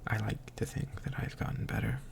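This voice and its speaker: A slightly tipsy man recording a confessional audio diary, speaking in a halting, hushed, introspective way that often trails into a whisper. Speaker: audio diarist.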